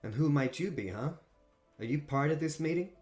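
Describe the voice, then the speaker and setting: A man voicing a calm, menacing vampire who speaks with cold politeness. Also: on the high side, moderate pace, faint music behind, pitched above the performer's own voice, close to an everyday voice.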